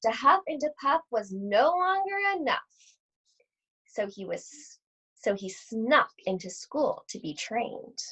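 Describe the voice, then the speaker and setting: A woman reading a children's picture book aloud to schoolchildren in an animated, theatrical storytelling manner. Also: a little high, takes it slowly, husky. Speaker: read-aloud host at an elementary school. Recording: dull, lo-fi sound.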